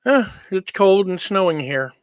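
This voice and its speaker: Casting: easygoing male streamer, lighter-pitched voice, casual chat with viewers, very dry room sound. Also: lively intonation, a little slow, smooth, dry recording. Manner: easygoing, informal. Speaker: livestream host.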